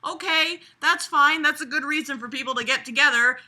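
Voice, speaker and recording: A woman hosts a read-aloud with a very bright, forceful voice. She moves between the text and her own casual commentary, which is sarcastic, exasperated and freely foul-mouthed. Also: sits high, brisk. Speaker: host reading a novel aloud with commentary.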